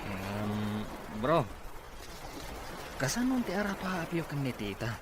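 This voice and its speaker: An easygoing male friend talks in a familiar, questioning way, as in a radio drama. His voice has an average pitch for a man. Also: big pitch swings, unhurried, noisy background. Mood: questioning, concerned.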